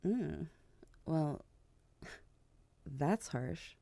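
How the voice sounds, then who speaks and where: A woman playing a regal, teasing vampire queen in an ASMR audio roleplay, speaking in a measured, hushed manner with breathy asides. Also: low voice, lively intonation, dry recording, full character voice. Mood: coy, teasing. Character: regal, teasing vampire queen, composed older-cousin type.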